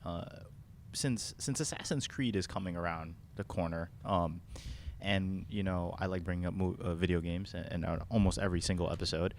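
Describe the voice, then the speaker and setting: Male co-host, low voice, halting informal delivery, slight background noise. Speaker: podcast co-host. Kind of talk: casual podcast chat.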